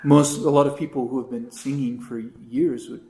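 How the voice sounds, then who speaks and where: A man who is a priest, teaching a catechism class in a relaxed, chatty way with many asides. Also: mid-range voice, normal speed, gravelly. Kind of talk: informal class talk. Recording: roomy echo.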